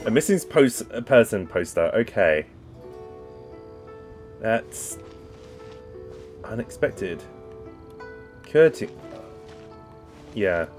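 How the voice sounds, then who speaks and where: A man's voice: lowish voice, very animated, extremely slow, long pauses, a little mellow, faint music behind. A man casually commentating while playing a game, rambling and chatty with lots of ums and asides. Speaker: gaming video host. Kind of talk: casual let's-play commentary.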